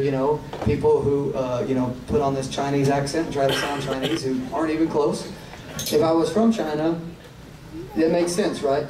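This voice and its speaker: A man in his thirties with a Southern Tennessee accent, preaching in a loose, joking way that climbs into fervent, drawn-out appeals. His voice sits a little high. It rings through a large echoey hall from a distant mic, over steady room noise. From Tennessee, USA. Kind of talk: live evangelistic sermon.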